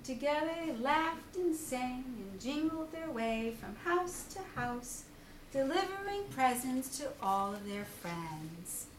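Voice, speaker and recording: A woman reading a children's story aloud like a teacher, in an animated read-aloud style. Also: high-pitched. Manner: pauses to question young listeners. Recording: roomy, distant mic, faint background noise.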